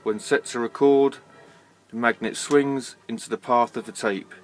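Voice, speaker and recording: A man giving a measured, matter-of-fact technical demonstration, as if presenting equipment from a script. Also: mid-range voice, fairly even intonation, soft background music.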